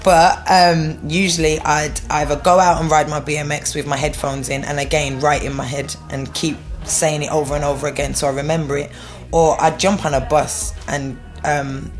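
A woman's voice: low-pitched, level tone, normal speed. Frank woman rapper, faint music. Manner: frank, defiant, laughs easily. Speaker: rapper being interviewed. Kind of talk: casual interview answers.

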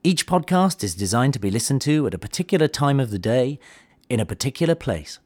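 Animated man announcing a podcast. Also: medium pitch, brisk, slightly rough. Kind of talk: scripted introduction.

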